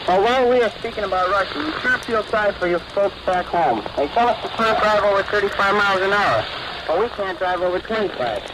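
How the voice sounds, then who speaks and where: A man's voice: sits high, expressive, fast talker. An American man, a sailor trading scripted banter on 1940s wartime radio. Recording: very noisy 1940s shortwave radio relay.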